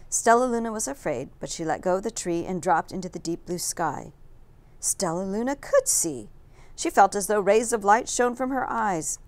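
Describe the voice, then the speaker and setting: A woman reads aloud in the style of a children's storyteller. Her medium-pitched voice is very animated, with careful phrasing and dramatic emphasis. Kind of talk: expressive children's picture book reading.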